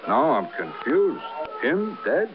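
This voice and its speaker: An American man acting a wary, slow-witted dive bartender in a vintage radio drama, speaking in laconic, plodding short phrases. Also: mid-range voice, huge pitch swings. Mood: puzzled, confiding. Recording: muffled vintage radio recording with music.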